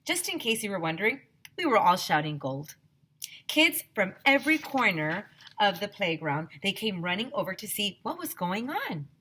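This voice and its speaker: A woman's voice: mid-range voice, very animated, moderate pace, pushed and bright, slightly rough. A woman teacher reading a children's story aloud expressively, speaking directly to the young listeners.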